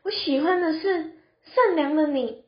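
A woman's voice: sits high, a little slow. A teenage girl playing a whiny, coddled young husband, pleading melodramatically in an amateur radio drama. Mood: disappointed. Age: teens. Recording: muffled, roomy lo-fi recording.

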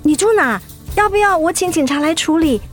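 A woman's voice: extremely high pitch, expressive, a little fast, faint music behind. An actress playing a helpful, concerned young woman passing by in a radio drama, inquisitive and obliging in manner. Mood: concerned, surprised. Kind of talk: Mandarin radio drama acting.

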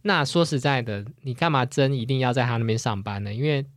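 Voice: medium pitch, brisk, no room sound, studio quality. 一位帶台灣腔國語的播客主持人，用輕鬆直率、帶點打趣的口吻閒聊並給意見。. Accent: Taiwanese Mandarin. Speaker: podcast co-host. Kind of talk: casual, opinionated chat.

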